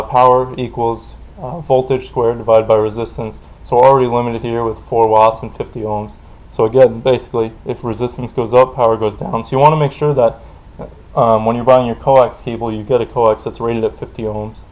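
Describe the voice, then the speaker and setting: Guy doing a casual techy explainer, average pitch, talks plainly off the cuff, kinda dull lo-fi audio. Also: little pitch movement, brisk, a little mellow. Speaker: tutorial presenter explaining technical concepts. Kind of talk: informal explainer lecture. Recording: dull, lo-fi voice recording.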